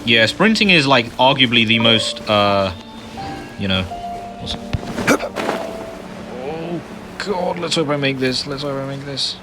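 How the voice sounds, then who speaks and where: A man's voice: medium pitch, expressive, measured pace, long pauses. A man giving casual, rambling commentary while playing a video game, with frequent fillers and muttered asides. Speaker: gaming video host. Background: game music and sound effects.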